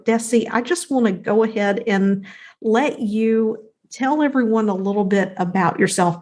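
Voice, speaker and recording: A woman's voice: medium pitch, darkish tone. A woman hosting a podcast who introduces her guest and asks open, encouraging questions. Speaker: podcast host. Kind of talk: interview hosting.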